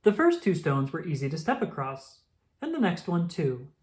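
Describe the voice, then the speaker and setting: A woman reading a children's book aloud as an expressive storyteller. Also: fairly low pitch, moderate pace.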